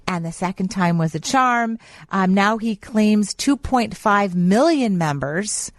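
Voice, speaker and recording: A woman's voice: mid-range voice, expressive, slow pace, dry recording, clean studio sound. A woman co-hosting a radio show, telling trivia in an upbeat, casual way. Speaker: radio co-host. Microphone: studio microphone.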